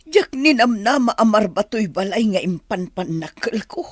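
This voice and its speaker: An actor with a Filipino accent playing an envious, complaining poor villager in a radio drama. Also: male voice, high voice, lively intonation, normal speed, no room sound. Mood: grumbling, envious. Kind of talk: Ilocano radio drama acting.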